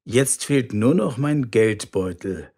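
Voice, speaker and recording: A man's voice: medium pitch, expressive, slow pace, darkish tone. A man playing a forgetful, good-natured husband in a scripted language-lesson dialogue. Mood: absent-minded. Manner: absent-minded, mild.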